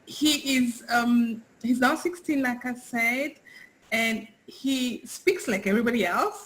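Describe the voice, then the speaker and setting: A woman's voice: fairly high pitch, slow, a bit bright, rough recording. Middle-aged african woman, swahili first language, heartfelt interview talk, keeps repeating words. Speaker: guest, parent. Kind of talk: casual interview chat.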